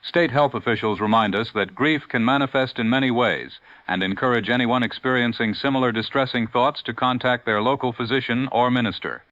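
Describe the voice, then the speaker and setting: A man playing a grave, middle-aged 1940s radio news anchor, formal and measured, through an old radio filter. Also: mid-range voice, fairly bright tone. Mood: solemn, official. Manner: formal, measured newsreader delivery. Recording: dull lo-fi vintage radio broadcast.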